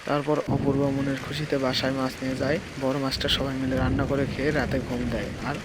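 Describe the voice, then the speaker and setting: A man's voice: mid-range voice, level tone, fairly quick, very noisy. A man narrating a scripted children's story. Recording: music behind the voice.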